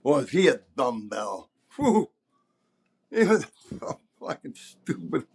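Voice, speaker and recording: A man's voice: mid-range voice, very animated, takes it slowly, creaky, husky. An older man, likely in his seventies, telling casual anecdotes in a rambling, emphatic, salty-tongued way. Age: older, around 70s. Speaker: podcast host telling stories as a former concert promoter.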